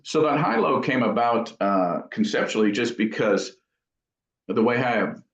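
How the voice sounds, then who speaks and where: A man's voice: mid-range voice, expressive, normal speed, gravelly. A man giving loose, meandering explanations in a casual interview as the guest. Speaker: guitar amp builder and guest. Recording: dull, roomy, echoing audio.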